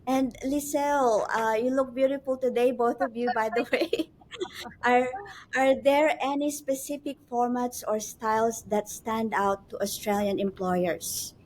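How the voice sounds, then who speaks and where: A woman's voice: a little high, steady tone, a little slow, a little mellow, rough recording. A woman hosting a webinar for nurses in a chatty, encouraging way, with lots of asides and fillers. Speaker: host.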